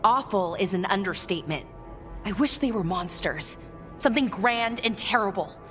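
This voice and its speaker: A woman's voice: medium pitch, expressive, normal speed, a bit bright, in a large hall, faint music behind, lightly acted. A woman playing an earnest, bookish young elven scholar in a fantasy video game. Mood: dismayed.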